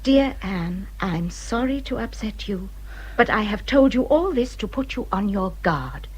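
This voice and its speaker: Husky, bitter English widow. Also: female voice, mid-range voice, expressive, measured pace, low-quality recording. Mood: earnest warning. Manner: emphatic, formal, dramatic delivery. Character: bitter, injured widow warning a younger friend. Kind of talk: British period radio drama acting.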